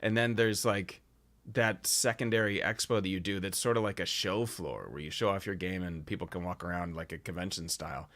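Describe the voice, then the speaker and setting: Male host, slightly low voice, loose chatty delivery full of ums. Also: steady tone, a little fast, fairly bright tone. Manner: rambling, hesitant, lots of fillers. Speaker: podcast host. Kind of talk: casual chat.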